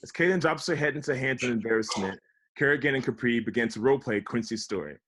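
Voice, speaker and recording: A man's voice: mid-range voice, quick pace, fairly bright tone, slight background noise. A man reading stage directions as scripted narration for a table read. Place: recorded remotely at home on a video call.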